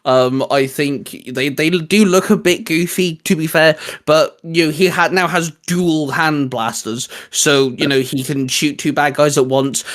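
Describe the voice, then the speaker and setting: A man's voice: a little high, steady tone, unhurried, few pauses, a bit bright. A man hosting a casual, geeky discussion, explaining his ideas in a rambling way. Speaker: host.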